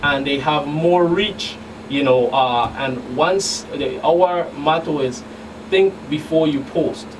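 Middle-aged male agent as radio guest, halting delivery with repeated words, distant mic, clear background hum. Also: on the high side. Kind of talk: radio interview answers. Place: small radio studio.

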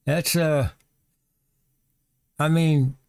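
A man's voice: fairly high pitch, fairly even intonation, drawn-out words, creaky, a little mellow, smooth. A man reading a news article aloud from his screen and breaking off for sarcastic asides and chuckles, recorded on a desktop microphone. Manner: reads text aloud, then adds sarcastic asides and chuckles. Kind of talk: casual commentary on a read-aloud article.

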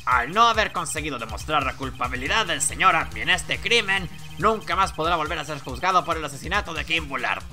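A man's voice: fairly high pitch, expressive, a little fast, few pauses, over music, close to an everyday voice. A man voicing a stern, pompous old judge who makes formal pronouncements in a courtroom video game.

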